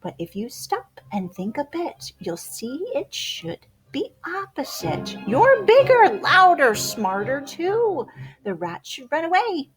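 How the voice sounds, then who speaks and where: A woman with a high, very lively voice reads a rhyming picture book for children. Her delivery is theatrical and unhurried, dropping to hushed whispers and rising to bold exclamations. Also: faint music behind, poor audio. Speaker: children's storybook reader. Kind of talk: animated read-aloud of rhyming picture book poems.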